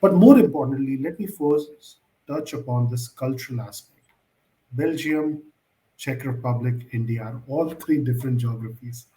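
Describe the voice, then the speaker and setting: A man's voice: medium pitch, moderate pace, warm tone, roomy sound, poor audio. A male interview host who asks questions in a formal, elaborate way, often hedging with "I would say.".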